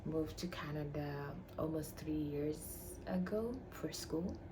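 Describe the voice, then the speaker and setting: A woman's voice: low-pitched, takes it slowly. A young woman from Tanzania chatting casually to camera about herself, playful and chatty, dipping into whispery murmurs. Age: young adult. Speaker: vlogger. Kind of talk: casual get-to-know-me chat. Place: shared home room. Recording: noisy home recording with roommates behind. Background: household noise.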